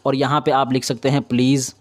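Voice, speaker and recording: Male tutorial host, medium pitch, informal and instructional, checking in often as he explains each step. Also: fast. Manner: repetitive confirming tags, instructional. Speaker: video editing tutorial host.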